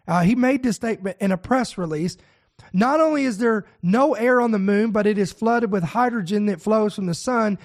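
A man with a head cold and a nasal, congested voice, hosting a prophecy news podcast and reading articles aloud with earnest, preacher-like emphasis. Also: sits high. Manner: earnest, preacher-like emphasis, stretches key words slowly. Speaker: podcast host.

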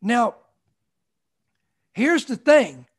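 A man's voice: extremely high pitch, animated, very slow. A man in his forties preaching a sermon, emphatic, with repeated phrases and call-outs to the congregation. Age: 40s. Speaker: pastor.

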